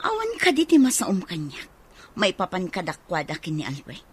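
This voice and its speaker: A woman's voice: fairly low pitch, wildly swooping pitch, normal speed, slightly rough, exaggerated voice. A woman with a Filipino accent playing a suspicious, scornful, jealous wife in a radio drama. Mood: pressing, demanding.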